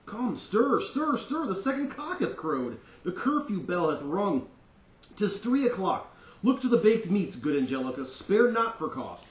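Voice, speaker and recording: A man's voice: sits high, big pitch swings, measured pace, pitched above the performer's own voice. A man reading aloud as a bustling, then grieving old father in a classroom play reading. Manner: loud, stretched-out declaiming. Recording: distant, roomy, slightly dull recording.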